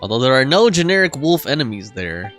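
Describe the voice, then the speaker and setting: A man's voice: medium pitch, very animated, a little fast, a bit bright. A man streaming a game with casual, slangy live commentary, reacting in short muttered interjections. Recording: game music behind the voice.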